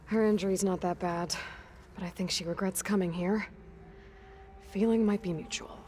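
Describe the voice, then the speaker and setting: An actress playing a determined young huntress who asks direct, probing questions in a game cutscene. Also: female voice, mid-range voice, fairly even intonation, normal speed, darkish tone, a little hiss or hum, natural voice. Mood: matter-of-fact. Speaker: game protagonist. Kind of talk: video game cutscene acting.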